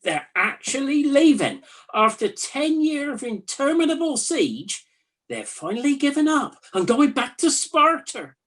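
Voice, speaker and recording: A woman's voice: high voice, big pitch swings, measured pace. A woman playing a chatty, gullible Trojan soldier in a comic radio play, musing in a wry, conversational way. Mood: excited, amazed. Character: bored, gullible, chatty Trojan night-watch soldier in a spoof.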